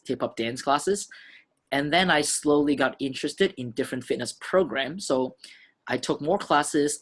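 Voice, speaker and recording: A man's voice: medium pitch, a bit bright. A man in his thirties from Hong Kong, a fitness instructor talking casually about his background in his gym, friendly and conversational with some fillers. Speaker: fitness instructor and channel host. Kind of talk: casual self-introduction vlog.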